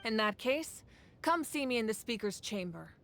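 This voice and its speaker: A woman playing a dignified, resolute ruler in a dramatic game, speaking in a measured, formal and authoritative way. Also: a little high, sing-song, fairly bright tone, slight background noise. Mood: composed, courteous.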